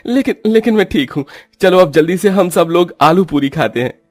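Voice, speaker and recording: A man's voice: high-pitched, lively intonation, normal speed, dry recording, clean studio sound, faint music behind, pitched above the performer's own voice. A man playing a poor, hardworking husband in a cartoon story, tender, anxious and earnest. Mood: reassuring, eager. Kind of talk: Hindi cartoon story voice acting.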